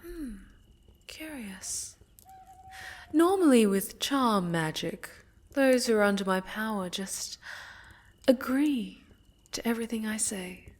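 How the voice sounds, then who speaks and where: Breathy female villain, acted by a woman, unhurried, soft-spoken, silky menace, haughty dark mage, mockingly sweet, whispery fantasy ASMR roleplay. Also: on the high side, lively intonation. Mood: sly, curious. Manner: condescending, silky, menacing, drawn-out.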